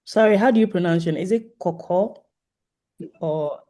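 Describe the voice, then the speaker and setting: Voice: mid-range voice, normal speed, mellow. A host informally moderating an online meeting over a video call, politely inviting participants to introduce themselves. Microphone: video call microphone.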